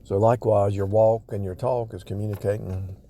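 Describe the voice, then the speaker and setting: A man's voice: lowish voice, fairly even intonation, brisk, background noise. A man giving casual, off-the-cuff spiritual teaching in a rambling way, repeating and rephrasing himself for emphasis. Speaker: religious vlogger.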